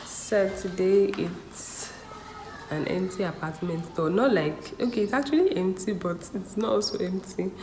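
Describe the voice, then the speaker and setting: A woman's voice: on the low side, a little slow, darkish tone, slight background noise, low-quality recording. A young woman talking casually and directly to her viewers. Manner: friendly, chatty, addresses viewers directly. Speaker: vlogger. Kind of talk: casual vlog talk to camera.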